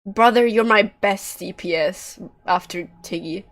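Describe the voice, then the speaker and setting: Woman game streamer, takes her time talking, medium pitch, slangy and sweary, chatting casually while she plays. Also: unhurried. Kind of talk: casual live gameplay commentary.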